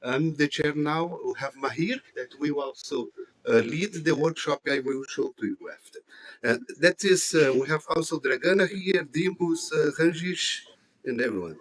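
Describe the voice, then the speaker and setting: A man's voice: on the high side, rough recording. A jet-lagged man from Chile, chairing a committee and speaking at a conference with many hesitations and fillers. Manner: halting, many fillers, non-native phrasing. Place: conference hall.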